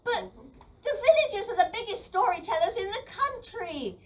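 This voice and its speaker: A woman's voice: very high voice, sing-song, moderate pace, a bit bright, full character voice. A woman playing an earnest, pleading young farm boy in an audio play. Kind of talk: community audio play acting. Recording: distant mic with faint background noise.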